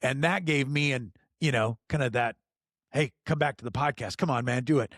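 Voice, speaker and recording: A middle-aged man hosting a podcast in casual chat, enthusiastic, laughing often and filling pauses with lots of ums and uhs. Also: mid-range voice, a bit bright, no room sound, clean studio sound. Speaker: podcast host.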